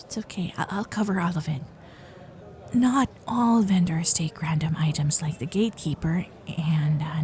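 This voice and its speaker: Sweet, unhurried kitsune girlfriend, played by a woman. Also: fairly low pitch, a little mellow. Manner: nervous, stammering, giggly, caring. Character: sweet flustered young female kitsune fox-spirit girlfriend. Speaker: ASMR roleplay performer. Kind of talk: ASMR girlfriend roleplay.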